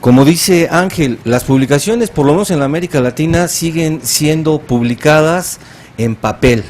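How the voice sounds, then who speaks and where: A man speaking as a panelist at an academic symposium, measured and thoughtful, with frequent filler pauses. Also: fairly high pitch, darkish tone, a little hiss or hum. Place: conference hall.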